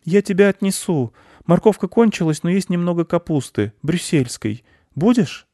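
A man's voice: high voice, animated, moderate pace, mellow, dry recording, studio quality, voice pushed up. A man voicing an earnest, inquisitive, kind little boy in a storybook, with a questioning manner. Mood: concerned.

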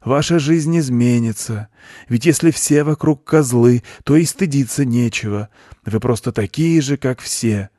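A man's voice: medium pitch, a little slow, warm tone, no room sound, clean studio sound, pitched above the performer's own voice, natural voice. A man voicing a pompous, persuasive male goat who preaches solemnly in a children's story. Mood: insistent preaching. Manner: solemn, self-important, preachy.

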